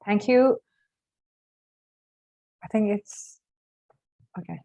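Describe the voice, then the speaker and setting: A woman moderating an online workshop, friendly and welcoming, with many filled pauses. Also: mid-range voice, creaky, warm tone, poor audio.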